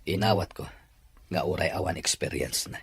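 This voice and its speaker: A man with a husky voice playing a suspicious boss in a serial drama. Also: deep voice, moderate pace. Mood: measured, doubtful. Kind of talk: acted drama dialogue.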